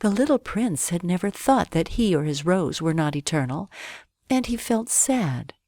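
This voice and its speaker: A woman narrating a scripted bedtime story for children with expressive, careful phrasing. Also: lowish voice, normal speed, dry recording, clean studio sound.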